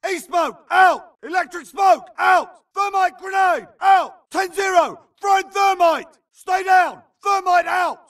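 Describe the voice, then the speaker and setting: Infantry grunt, game combat barks: shouted, sharp, clipped and urgent, a little off-mic. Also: male voice, extremely high pitch, takes it slowly, hardly any pauses, far from the mic, a faint crowd, heavily acted. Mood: alert, urgent. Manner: urgent, clipped radio-style callouts. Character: tense infantry soldier calling out threats in battle. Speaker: video game voice actor.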